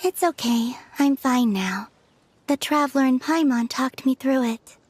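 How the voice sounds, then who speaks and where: A woman voicing a gentle, dreamy young woman in a video game, soft and airy, speaking in an unhurried, earnest way. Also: high-pitched, breathy edge. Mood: reassured.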